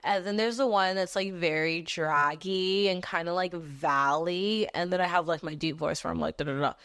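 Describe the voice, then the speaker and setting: A woman in her twenties from Texas hosting a podcast, talking in an animated, casual monologue that moves between a squeaky voice and a lower one, with frequent casual swearing. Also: moderate pace, clean tone, no room sound, studio quality. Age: 20s. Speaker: podcast host.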